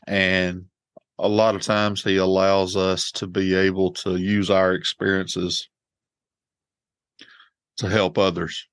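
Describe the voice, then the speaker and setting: A man with a very deep voice hosts a podcast in plain, earnest talk. He speaks openly about faith. The sound is dry and close, with no room around it. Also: flat delivery, slow, long pauses, a bit bright, clear, resonant tone, no echo. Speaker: podcast host. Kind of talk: casual conversation.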